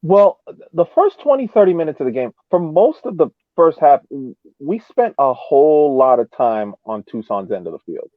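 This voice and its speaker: A man's voice: a little high, big pitch swings, moderate pace, no echo. A man on a sports podcast panel, chatting and giving casual game analysis over a narrow, muffled connection. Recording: muffled narrow-band radio-like sound.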